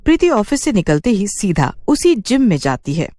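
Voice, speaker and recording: A woman's voice: mid-range voice, big pitch swings, normal speed, mellow, no room sound. A woman telling a scripted cartoon story as its narrator.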